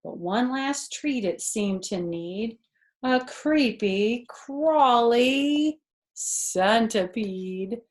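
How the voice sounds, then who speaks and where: Slow-paced woman reading to children. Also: on the high side, lively intonation, a little mellow. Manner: slow, deliberate, explains words simply to children. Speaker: storyteller reading picture books to children. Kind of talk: read-aloud storytime with chatty asides. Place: small furnished living room, indoors.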